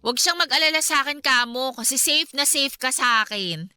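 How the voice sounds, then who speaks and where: Very bright, high-voiced caring young woman. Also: lively intonation, fairly quick, smooth, no echo, clean studio sound, full character voice. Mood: concerned, teasing. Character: young woman, caring confidante of a troubled male friend. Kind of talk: Filipino radio drama acting.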